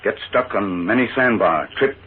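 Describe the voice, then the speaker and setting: Man playing a terse, low-voiced radio western sidekick. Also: natural voice. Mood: stoic, curious. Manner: clipped pidgin English, terse, deliberate. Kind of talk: 1940s radio western acting. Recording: tinny, noisy 1940s radio recording.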